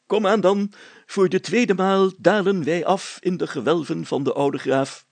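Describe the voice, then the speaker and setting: A puffed-up village mayor from a storybook. The voice sits well above an everyday male pitch, with a rough, creaky edge, and declaims grandly, stretching the solemn words with great self-importance. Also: steady tone, darkish tone, no room sound. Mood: pompous, eager. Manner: pompous, declamatory, drawn-out solemn phrasing. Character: pompous, excitable village mayor, grandiloquent adult man.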